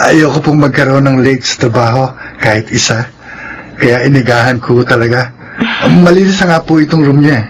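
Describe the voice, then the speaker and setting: An actor playing a humble, shy young janitor who speaks politely and deferentially in a Filipino radio drama. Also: male voice, medium pitch, normal speed, a little hiss or hum, close to an everyday voice, rough recording. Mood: cheerful, polite.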